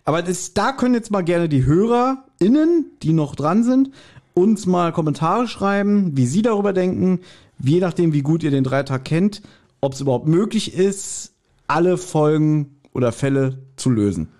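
A man's voice: sits high, expressive, normal speed, a little mellow. A man chatting casually as a podcast co-host, explaining his views in colloquial language. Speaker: podcast co-host reviewing audio dramas.

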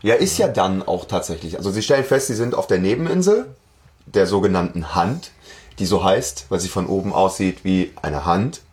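A man's voice: sits low, lively intonation, moderate pace, darkish tone. A man co-hosting a fan podcast, retelling a story in casual conversation. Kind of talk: casual chat and plot retelling.

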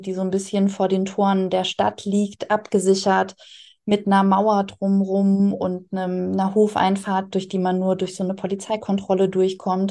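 A woman's voice: medium pitch, dark tone. A woman explaining things conversationally as an interview guest and author.

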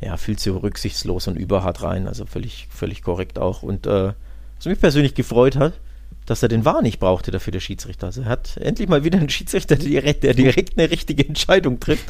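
A man with a gravelly, creaky voice talks football in a casual podcast style. He sounds colloquial and opinionated, and the recording is dry and close, with no room sound. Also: medium pitch, a little fast, darkish tone, no room sound. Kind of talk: casual football talk with live match commentary.

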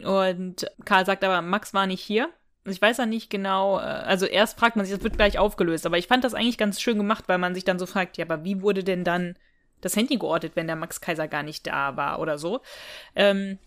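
A woman's voice: mid-range voice, a little fast, fairly bright tone. A woman co-hosting a podcast in casual, colloquial chat, recapping a story and often tacking on little tag questions. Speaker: podcast co-host.

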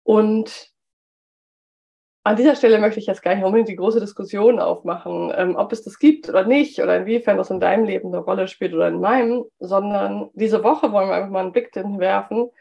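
Chatty woman, slightly hoarse with a cold. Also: mid-range voice, fairly even intonation, a little fast, warm tone, muffled recording. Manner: chatty, with frequent "ähm" fillers. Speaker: podcast host. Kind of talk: solo business podcast talk.

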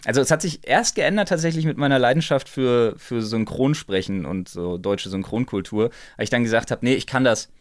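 A man's voice: mid-range voice, animated, a little fast, few pauses. A man telling a personal story in casual chat, emphatic and repeating phrases for effect. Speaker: guest.

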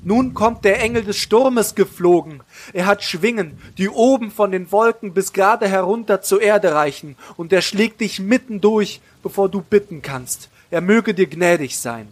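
A man's voice: high-pitched, lively intonation, normal speed. A man voicing a pious stalk of grain in a fairy-tale audio play, urgent and admonishing. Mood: urgent warning. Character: pious field grain urgently warning of the storm.

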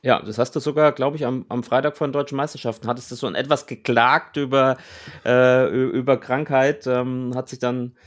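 A man hosts a podcast in an even, mid-range voice that sits right in the middle for a man. He talks in long explanatory stretches, pausing often on filler sounds as he gathers his thoughts. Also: mid-range voice. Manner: explanatory, with frequent filler pauses. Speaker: podcast host. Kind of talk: podcast conversation.

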